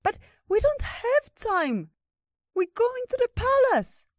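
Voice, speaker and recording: A tiny storybook creature, extremely high and squeaky. It is eager and anxious, repeating itself with excitable energy in a dry recording. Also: takes it slowly, dry recording, heavily acted.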